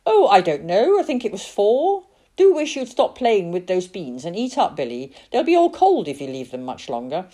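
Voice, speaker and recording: A woman's voice: medium pitch, huge pitch swings, normal speed, warm tone, pitched above the performer's own voice. A woman voicing a gossipy, nagging middle-aged aunt who chatters on about hearsay and scolds a boy at the supper table. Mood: fussing.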